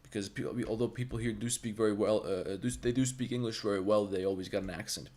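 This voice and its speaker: A man's voice: lowish voice, fairly even intonation, fast talker, dark tone. Blunt, profane Balkan-accented man. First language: Serbo-Croatian. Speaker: 3D artist and streamer. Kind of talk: livestream tutorial with chat.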